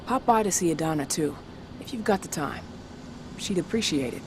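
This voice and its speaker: An actor playing a supportive, weary rebel strategist in a video game, with an informal, measured way of explaining. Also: male voice, fairly high pitch, expressive, background noise, lightly acted. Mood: pleased. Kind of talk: video game cutscene acting.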